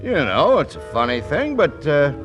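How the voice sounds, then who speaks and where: A man's voice: on the high side, huge pitch swings, normal speed, fairly bright tone, faint music behind. An actor playing a genial, puzzled father with an eye for girls in a 1940s radio comedy. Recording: 1940s radio broadcast recording.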